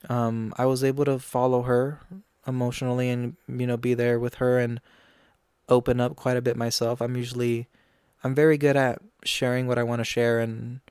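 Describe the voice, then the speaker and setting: Young guy podcast host rambling casually, smooth clear voice, flat even tone, dry close sound. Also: medium pitch, no room sound. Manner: chatty, frequent ums and you-knows. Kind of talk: casual reflective chat.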